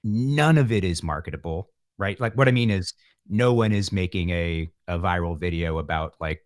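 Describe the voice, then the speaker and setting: A man's voice: deep voice, moderate pace. A man speaking as a musician guest in a casual interview, informal and self-deprecating.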